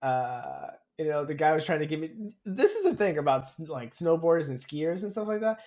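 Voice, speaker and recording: A man co-hosting a podcast, telling stories in casual slang and acting out what other people said. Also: a little high. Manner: animated slangy retelling, quoting others in dialogue. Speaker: podcast co-host. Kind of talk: casual chat, storytelling.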